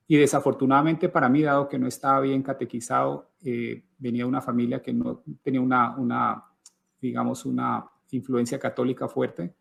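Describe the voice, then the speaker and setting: A man's voice: medium pitch, creaky, husky. A middle-aged man from Colombia giving his personal conversion testimony in an interview, thoughtful, with frequent hesitations and restarts.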